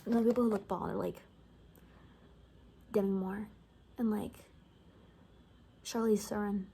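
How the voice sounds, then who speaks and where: A woman speaks softly in a relaxed, mellow voice that often slips into a whisper. She tells sassy, sarcastic gossip like a chatty survivor in an ASMR roleplay. A light layer of background noise sits behind her. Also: mid-range voice, a little slow. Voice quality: soft, often dropping to a whisper. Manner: casual, sarcastic, gossipy storytelling. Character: sassy gossipy female apocalypse survivor giving a haircut.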